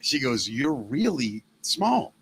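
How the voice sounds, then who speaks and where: A middle-aged man hosting a casual podcast, a former radio DJ with a loud, gravelly former smoker's voice who tells stories and acts out the voices he quotes. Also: mid-range voice, very animated, takes it slowly, a little hiss or hum. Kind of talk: casual chat.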